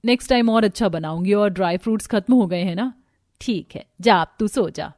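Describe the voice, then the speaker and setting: A woman's voice: medium pitch, fairly quick, darkish tone, lightly acted. A woman voicing a rich, sly, demanding older mother-in-law in a cartoon story, bossy and falsely sweet. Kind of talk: Hindi cartoon story dialogue.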